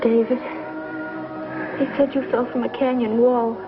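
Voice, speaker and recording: Actress as high-voiced, emotional young pioneer wife. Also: female voice, flat delivery, a little slow, natural voice. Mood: tender, moved. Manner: emotional, theatrical delivery. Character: headstrong, homesick young pioneer wife, softening into tenderness. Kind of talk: 1950s television western acting. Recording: muffled 1950s TV soundtrack with music.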